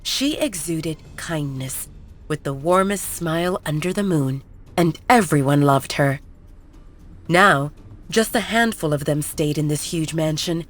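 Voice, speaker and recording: A woman narrating a werewolf romance in measured, scripted storytelling. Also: low-pitched.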